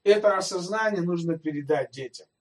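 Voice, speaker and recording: A man's voice: high-pitched, fairly quick, distant mic. A male pastor giving a sermon in a conversational way, speaking straight to his listeners and asking them rhetorical questions.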